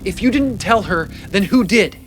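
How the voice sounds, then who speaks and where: A woman's voice: mid-range voice, animated, moderate pace, natural voice. A woman voicing a manipulative, blaming, self-serving fiancé in an audio drama. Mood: accusing. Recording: music behind the voice.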